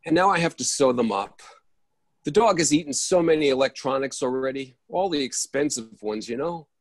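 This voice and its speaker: A man playing an exasperated, easily flustered character in an absurdist stage play reading, halting and repeating himself over a video call. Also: medium pitch, lively intonation, no echo, natural voice. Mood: chatty, fretful.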